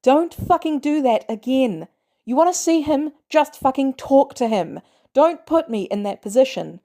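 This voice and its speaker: A woman voicing a fierce female covert operative in a story, scolding in forceful, sweary, clipped bursts. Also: high voice, animated, a little slow, voice pushed up. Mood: angry, scolding.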